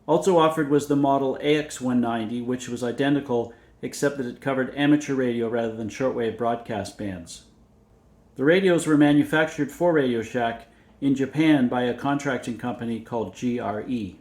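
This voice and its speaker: A man presenting a scripted technical overview in a measured, matter-of-fact way, reading out details methodically. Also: medium pitch, a little mellow. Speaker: presenter of vintage radio videos. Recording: roomy, echoey indoor sound.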